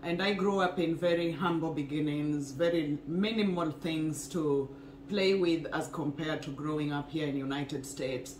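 A woman from Kenya talks at a steady, earnest pace about her own life. She sits in an echoey home kitchen, with a faint hiss under the voice. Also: low-pitched, moderate pace. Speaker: co-host, wellness couple. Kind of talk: casual personal story to camera. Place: home kitchen, indoors, small room. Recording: echoey room, faint noise.

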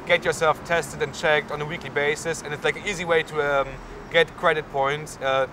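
A young man with a German accent, a university student answering interview questions outdoors in a town square, with many fillers. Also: a little high. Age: young adult. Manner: lots of fillers, gestures while talking. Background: faint street and traffic noise.

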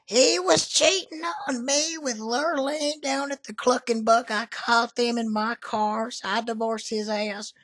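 A man's voice: extremely high pitch, steady tone, a little slow, hardly any pauses, a bit bright, full character voice. A man doing a salty old Southern country woman. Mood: brash flirty. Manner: folksy, salty, drawling, calls people honey. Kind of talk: prank call.

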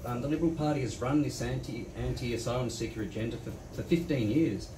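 A man speaking as a candidate on a forum panel, pressing his argument with passion. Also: mid-range voice. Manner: fast, impassioned, pressing. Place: small venue stage, seated panel. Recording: echoey room, distant mic, background noise.

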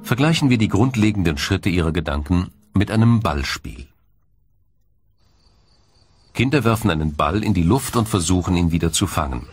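Low-voiced male documentary narrator. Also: animated, husky, faint music behind. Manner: measured, explanatory, formal delivery.

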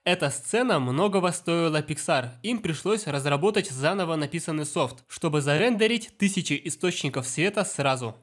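A man with a slightly raised voice delivers a lively, explanatory voice-over review of a film. Soft music plays under his narration. He sounds eager and keen to point things out. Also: fairly high pitch, normal speed, few pauses. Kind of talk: scripted voice-over commentary.

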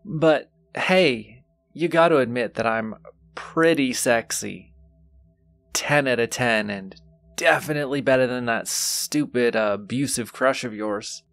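A man's voice: mid-range voice, measured pace, vocal fry, fairly bright tone, dry recording. A man playing a teasing, flirtatious, gleefully wicked demon suitor in an intimate audio roleplay, drawling seductively to the listener. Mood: flirtatious, playful. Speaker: voice actor.

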